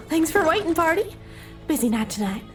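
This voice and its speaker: A high-voiced, eerily chirpy diner waitress in an audio drama. Her delivery swings up and down in a sing-song, formally polite and quietly pushy, with music underneath. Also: sing-song, moderate pace. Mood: breezy, servile. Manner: speaks of self in third person, sing-song service voice.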